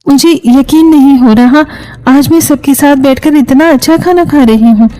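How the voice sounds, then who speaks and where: A woman's voice: high voice, moderate pace, warm tone, lightly acted, poor audio. A woman voicing a timid, dutiful young bride in a Hindi moral story, hesitant and polite. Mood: earnest, subdued.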